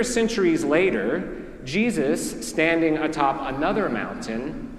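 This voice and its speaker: A male priest with a Southern accent delivers a sermon in a slow, measured manner, letting each phrase settle before moving on. His voice sits a little high for a man. The sound carries the long, roomy echo of a large church. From Mississippi, USA. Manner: slow, measured preaching with deliberate pauses. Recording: echoey church hall.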